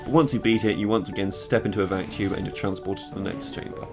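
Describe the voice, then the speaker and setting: A British teenage lad talks fast and dry about his project, slipping into sarcasm and mock outrage. His voice sits a little low for a young man. He's recorded at a desk in a small bedroom. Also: fairly even intonation, over music. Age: late teens. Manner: sarcastic, quick and self-mocking. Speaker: game mod creator. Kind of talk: casual devlog narration. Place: small bedroom with purple light.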